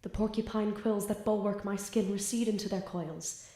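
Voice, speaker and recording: A woman's voice: medium pitch, fairly even intonation, moderate pace, warm tone, reverberant, lightly acted. A woman playing a flustered, lovestruck, bookish student in an audio drama, with grandiose theatrical diction. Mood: grandiose, dramatic.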